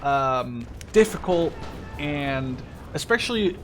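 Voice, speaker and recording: A man's voice: a little high, lively intonation, slow, faint music behind. A man in his twenties from the Netherlands giving casual, rambling gameplay commentary, often correcting himself and swearing casually. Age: 20s. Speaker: gaming video host.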